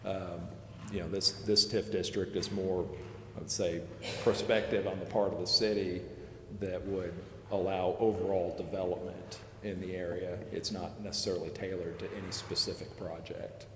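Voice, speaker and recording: A man advising a city committee, explaining figures in a measured way and pausing to recall details. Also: low voice, level tone, a bit bright. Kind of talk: explaining figures at a public meeting. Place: city council chamber. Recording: echoey chamber, long reverb, faint hiss.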